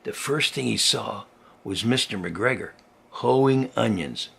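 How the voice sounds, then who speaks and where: An older man, a grandfather reading a children's story aloud in a folksy, chatty way, with a gravelly, husky voice and simple asides as if to a small child. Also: mid-range voice, expressive, slow, a bit bright.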